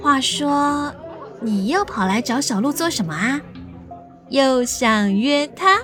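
Casting: playful nosy schoolgirl friend for radio drama, fairly high voice, teasing drawl. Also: expressive, slow pace, a bit bright, exaggerated voice. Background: faint music.

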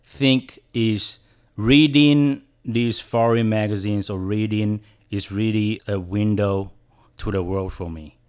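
A man in his thirties from Taiwan with a Taiwanese accent, a native Chinese speaker, talking haltingly as a guest in a radio studio interview. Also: fairly low pitch, steady tone, a little mellow, smooth. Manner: halting, with restarts and fillers. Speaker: guest filmmaker. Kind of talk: casual interview.

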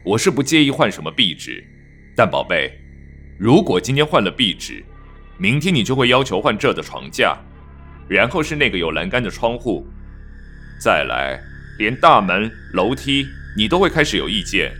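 Man playing a low-voiced, patronising young husband. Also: very animated, normal speed, sharp tone, soft background music, full character voice. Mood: exasperated, firm. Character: patronising, controlling young husband, outwardly tender. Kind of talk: audio drama acting.

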